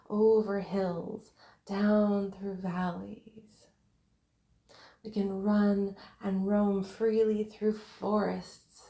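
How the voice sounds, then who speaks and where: A woman narrating a guided visualization in a meditative way, speaking in short, separated, breathy phrases. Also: mid-range voice, warm tone, echoey room.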